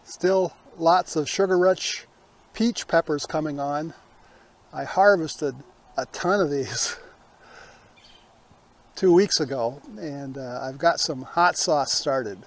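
A man, hosting a casual garden tour in a folksy, unhurried way outdoors. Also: a little high. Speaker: gardening vlog host.

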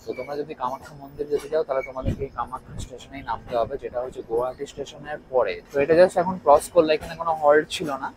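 Young man, fast, lively travel-vlog host, clear train rumble behind. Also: low-quality recording. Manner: lively, chatty, points things out to viewers. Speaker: travel vlogger. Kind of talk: casual travel vlog narration. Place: train coach and station platform, mostly moving train.

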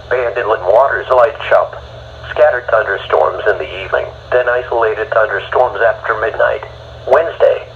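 An American man's synthetic text-to-speech voice reading a weather bulletin in a flat, formal way. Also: mid-range voice. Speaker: automated weather radio announcer. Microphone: phone held to a radio speaker. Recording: dull radio broadcast re-recorded by phone, faint noise.

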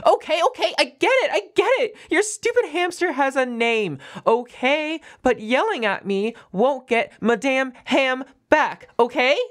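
A woman's voice: high-pitched, expressive, unhurried, hardly any pauses. A woman voicing a defensive, flustered young half-wolf girl roommate in an audio roleplay, stammering and evasive with a whiny manner. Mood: nervous, evasive.

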